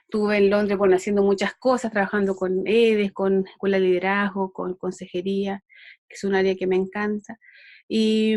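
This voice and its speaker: A woman's voice: mid-range voice, a little mellow, no room sound. Chilean woman teaching a Bible lesson. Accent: Chilean Spanish. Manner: unhurried, with frequent fillers and restarts. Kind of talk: online Bible lesson with opening prayer.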